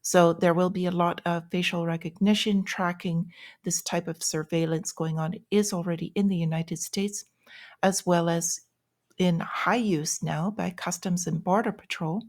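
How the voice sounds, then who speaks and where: A woman hosting an informal market news update, explaining things in short, measured phrases with small pauses. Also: lowish voice, steady tone. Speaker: host of a daily finance update show.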